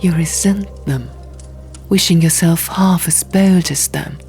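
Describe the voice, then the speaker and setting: Woman as a stern, slow, quiet abbess. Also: fairly low pitch, faint music behind. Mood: cold, scolding. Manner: quiet, measured, condescending. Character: stern, cold, dismissive abbess, scolding quietly.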